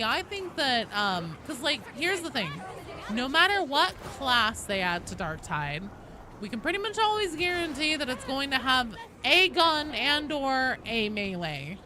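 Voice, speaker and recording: A woman's voice: fairly high pitch, very animated, measured pace, noisy background. A woman livestreaming a game, chatting casually and discursively about it, with frequent swearing. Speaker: game streamer. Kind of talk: casual livestream gaming chat.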